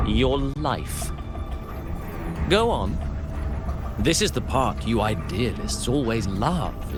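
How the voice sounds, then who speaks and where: A sinister game villain who lingers over each phrase with cruel, mocking relish. His theatrical voice swings and slides through wide melodic turns, unhurried throughout. Loud music sits behind him. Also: mid-range voice. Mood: gleeful menace. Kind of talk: video game villain acting. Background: loud eerie game music.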